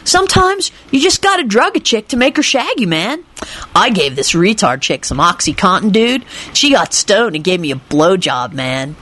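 A dim young stoner guy, as a woman reads him out, crude and drawling, ending phrases with a lower dude or man. The voice is husky and swings widely up and down. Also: big pitch swings, moderate pace, fairly bright tone. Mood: stoked, crude.

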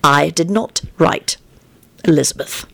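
Woman as a calm, pensive young male wizard. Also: lowish voice, creaky, no echo, full character voice. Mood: calm, definite. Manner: calm, deliberate, musing.

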